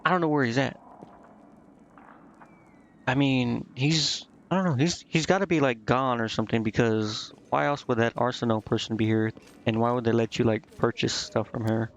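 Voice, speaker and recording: A man casually commentating a game live and reading its text aloud, thinking out loud in a rambling way and stumbling over unfamiliar words. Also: mid-range voice, steady tone, normal speed, slight background noise. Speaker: game streamer.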